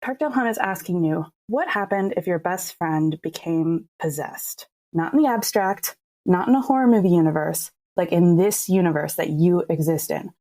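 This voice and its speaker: A woman's voice: on the low side, moderate pace, mellow. A woman giving articulate, enthusiastic explanations as a creative guest in an interview. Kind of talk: thoughtful explanatory interview talk.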